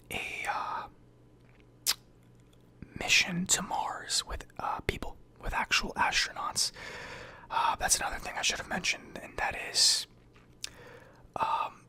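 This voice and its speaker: Male ASMR roleplayer, crisp bright whisper with some gravel, hesitant journal dictation, close mic. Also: sits high. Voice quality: breathy whisper and soft speech. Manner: hesitant, pausing, thoughtful. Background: computer keyboard typing.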